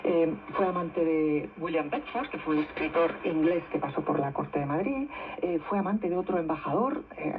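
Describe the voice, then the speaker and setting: A woman's voice: on the low side, husky, narrow, tinny audio. A woman, a novelist guest explaining history in a radio interview, often ending her points with tag questions. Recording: thin shortwave radio reception.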